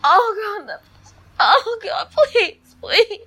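A woman in bed at night, exhausted, talking in a breathy, whispery, trembling voice that breaks into moans. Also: extremely high pitch, very animated, drawn-out words, pushed and bright. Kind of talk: intimate erotic audio monologue.

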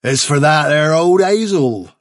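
A man's voice: on the high side, lively intonation, unhurried, dry recording, studio quality, pitched above the performer's own voice. A man voicing a cruel, taunting country man in a broad rural English dialect, reading a storybook. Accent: rural English dialect put on. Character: menacing rustic man, cruel and mocking.

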